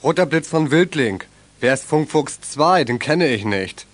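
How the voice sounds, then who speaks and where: A man's voice: on the high side, expressive, measured pace, background noise. A young male actor playing a cool-headed, helpful teenage radio hobbyist in a children's audio drama. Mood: cool, matter-of-fact.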